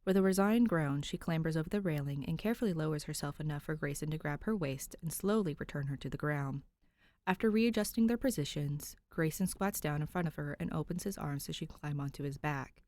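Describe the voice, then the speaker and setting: Female audiobook narrator, slightly low voice, expressive story reading, very dry sound. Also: a little fast, no echo. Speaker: podfic narrator.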